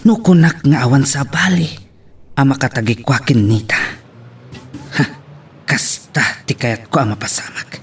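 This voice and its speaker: A man's voice: medium pitch, lively intonation, a little slow, pauses often, slightly rough. An actor playing a jealous, scheming rich young man, delivering brooding and menacing monologue in an audio drama. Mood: brooding menace. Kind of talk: Ilocano radio-style drama acting.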